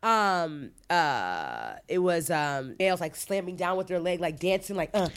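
A woman co-hosting a casual group chat, telling things in a slangy, animated way. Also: lowish voice, measured pace, clean tone. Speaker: podcast co-host.

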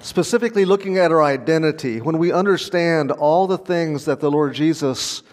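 A man's voice: fairly high pitch, normal speed, in a large hall. A man preaching a sermon in an earnest, conversational way, with small laughs as he talks. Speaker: pastor.

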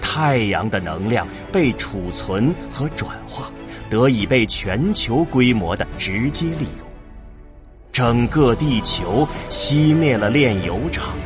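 A man narrating a science-fiction audio drama in a measured, scripted storytelling delivery. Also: mid-range voice. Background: music.

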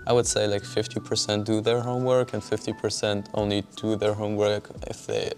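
Young dude talking casual, low flat voice, uh like, faint music. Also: male voice, a little fast. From Germany. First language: German. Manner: hesitant, many fillers. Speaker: guest student. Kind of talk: casual interview answers.

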